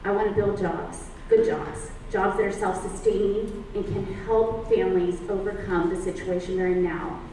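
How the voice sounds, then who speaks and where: A woman's voice: mid-range voice, little pitch movement, normal speed, few pauses, mellow, slight background noise. A woman from Southern California giving a fluent, confident campaign speech at a public forum. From San Diego County, California. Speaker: political candidate. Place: public library forum room. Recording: echoey room, distant mic.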